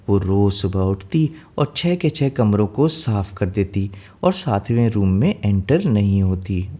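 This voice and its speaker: A man's voice: on the low side, warm tone. A man narrating a scripted children's fairy tale in simple language, mixing in some English words. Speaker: storyteller.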